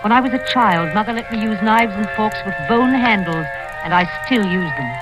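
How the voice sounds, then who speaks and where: Actress as genteel rich spinster, composed and polite, telling a dark tale on old radio, music underneath. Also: female voice, medium pitch, lightly acted, rough recording. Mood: confiding. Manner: polite, measured, smoothing things over. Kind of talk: 1940s radio drama acting and narration.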